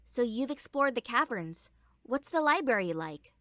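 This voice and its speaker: A woman's voice: on the high side, very animated, moderate pace, a bit bright, no echo, clean studio sound. A woman playing an earnest, anxious young pony scholar and leader in a cartoon comic dub, with a questioning manner. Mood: earnest, concerned. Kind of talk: fan comic dub acting. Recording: faint music behind.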